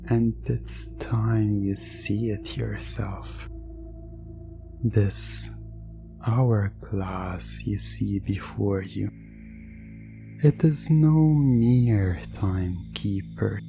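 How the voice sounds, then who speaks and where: An ASMR hypnosis narrator speaks extremely slowly and deliberately, repeating phrases. The mid-pitched voice has a crackling fry and at times falls to a near-whisper. Music plays clearly behind it. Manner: deliberate, repetitive phrasing with lingering pauses. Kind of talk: slow hypnotic ASMR roleplay narration in second person.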